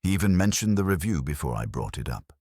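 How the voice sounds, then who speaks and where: A man narrating a scripted first-person detective story in a wry, measured storytelling manner. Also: low-pitched, very animated, darkish tone, dry recording, clean studio sound.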